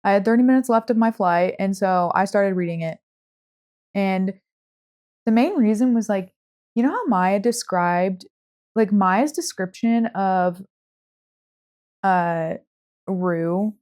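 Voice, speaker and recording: A woman's voice: mid-range voice, slow, long pauses, a little mellow. Casting: woman, podcast co-host, mid-range voice, informal book chat, speech peppered with "like". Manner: conversational, heavy use of "like".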